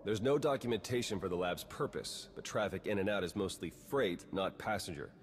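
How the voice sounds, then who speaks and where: Guy doing a cynical game spy, low voice, dry and understated, echoey with some noise behind. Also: a little fast, close to an everyday voice. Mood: briefing, determined. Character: wry, cynical male spy, determined and rule-bending. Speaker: intelligence agent character. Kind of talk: video game cutscene acting. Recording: long hall reverb, noisy ambience behind.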